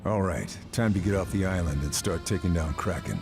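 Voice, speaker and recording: A man's voice: low voice, animated, fairly quick, over loud music. A man voicing a steely, unflappable soldier in a video game, speaking in a terse, restrained way. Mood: grimly focused. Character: gruff, steely, unflappable male Allied sniper and soldier. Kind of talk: scripted game narration and dialogue.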